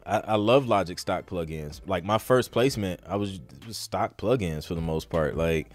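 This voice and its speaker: Guy doing a laid-out podcast q&a, lowish voice, slangy and chatty, faint music. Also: normal speed. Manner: informal, slangy, conversational. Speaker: podcast host. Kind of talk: casual live Q&A chat.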